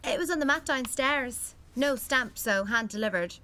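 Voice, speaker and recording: A woman's voice: sits high, animated, normal speed, bright, forceful tone. An actress playing a friendly, inquisitive village woman in a naturalistic radio soap. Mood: friendly, curious.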